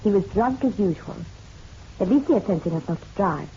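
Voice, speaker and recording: A woman's voice: mid-range voice, lively intonation, a little fast, warm tone, lightly acted. An actress playing a genteel, proud middle-aged mother in a radio drama, poised and arch with a bitter edge. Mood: earnest, scornful. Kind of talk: noir radio drama acting. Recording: dull, slightly noisy radio-drama recording.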